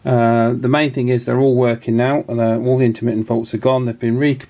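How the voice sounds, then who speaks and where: A man's voice: medium pitch, level tone, a little fast, warm tone. A man hosting a casual hands-on demonstration, chatting and thinking aloud as he goes. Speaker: host of radio repair channel.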